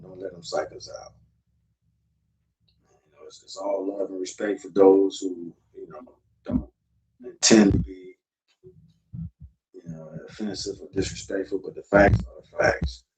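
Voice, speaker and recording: A man's voice: low voice, measured pace, pauses often, darkish tone. A man from South Florida hosting a casual talk show, lecturing loosely and swearing freely. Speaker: podcast host. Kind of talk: casual chat. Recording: distant mic with faint noise.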